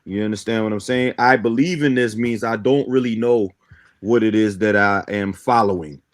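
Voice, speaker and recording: A man's voice: lowish voice, moderate pace. A man from Guyana who grew up in Brooklyn, explaining his ideas in a didactic way as a talk show guest. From born in Guyana, grew up in Brooklyn, New York. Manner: didactic, often checks in with "right?". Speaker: guest, teacher of a belief system.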